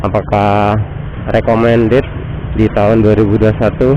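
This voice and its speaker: A man's voice: lowish voice, muffled recording, slight background noise. Stuffy-nosed man on a motorbike. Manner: relaxed, chatty, unhurried. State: nasal from a cold. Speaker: motovlogger. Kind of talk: casual motovlog chat while riding. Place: on a motorbike in city traffic. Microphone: action camera mic.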